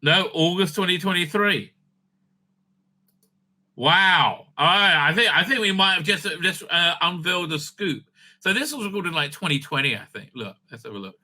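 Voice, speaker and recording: Guy hosting a fan news stream, kinda high voice, really sharp and forceful, rambles and swears, thinks out loud. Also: lively intonation, moderate pace. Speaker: host of a fan news show. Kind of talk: casual unscripted commentary while browsing a screen.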